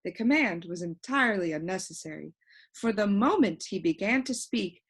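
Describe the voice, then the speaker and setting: A woman's voice: medium pitch, lively intonation, moderate pace, husky. Woman reading a children's story expressively. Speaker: audiobook reader. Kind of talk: scripted children's story narration.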